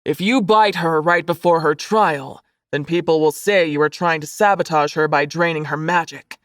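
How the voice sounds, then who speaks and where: A woman voicing a menacing young male fanged creature in an audiobook, with a low hiss. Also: brisk, no echo, natural voice. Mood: warning. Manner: low, hissing.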